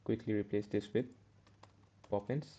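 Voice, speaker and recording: A man's voice: fairly low pitch, fairly even intonation, normal speed, mellow, highs cut off. A man teaching a step-by-step coding tutorial over a screen recording, correcting himself as he goes. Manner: step-by-step, self-correcting with "uh" and "okay". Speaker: programming tutor.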